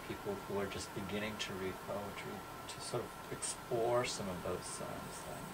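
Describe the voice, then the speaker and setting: A man's voice: on the low side, fairly even intonation, moderate pace, distant mic. A man interviewing informally, with hesitant questions and brief acknowledgements. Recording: off-mic, clearly noisy background.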